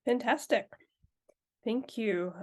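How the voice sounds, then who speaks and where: A woman's voice: on the high side, slow pace, a little mellow. A woman who directs an arts program, giving an earnest, informal overview over a video call, thinking aloud with restarts. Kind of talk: informal presentation.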